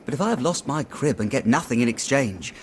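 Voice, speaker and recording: An actor playing an earnest, worried young city clerk in a radio drama, chatty and slangy but deferential. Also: male voice, medium pitch, lively intonation, fairly quick, a little hiss or hum, lightly acted. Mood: rueful. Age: young adult.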